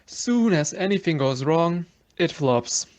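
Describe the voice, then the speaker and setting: An evasive elevator operator played by a man in an audio mystery. He talks slowly and hesitantly in slangy phrases, his voice a little higher than average for a man. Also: animated. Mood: evasive, defensive. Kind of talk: amateur audio play acting.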